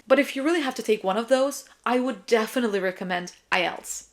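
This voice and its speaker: A woman's voice: fairly high pitch, fast. A young woman from Turkey, a native Turkish speaker, talking casually and emphatically to viewers as an English teacher hosting a vlog. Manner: chatty, emphatic, self-described yapper. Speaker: English teacher and video host. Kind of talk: casual vlog chat, answering viewer questions.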